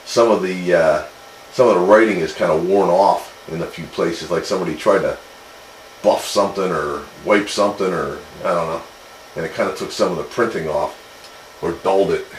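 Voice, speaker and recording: A man talking casually on two-way radio, plainspoken and a little halting. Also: low voice. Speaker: radio hobbyist. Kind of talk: casual chat over radio. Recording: roomy echo, faint radio noise.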